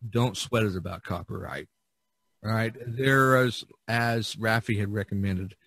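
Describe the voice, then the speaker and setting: A man's voice: fairly low pitch, measured pace, gravelly, a bit bright, dry recording. Man casually giving advice, dry close sound. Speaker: podcast host and artist.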